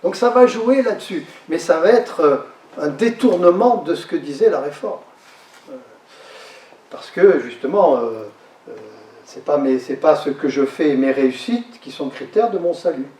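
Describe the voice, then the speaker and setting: A man with a fairly high voice giving a lecture, heard from some distance off the mic. His words bounce around an echoey hall. He talks in a halting way, repeating words and restarting phrases as he explains. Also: expressive, darkish tone.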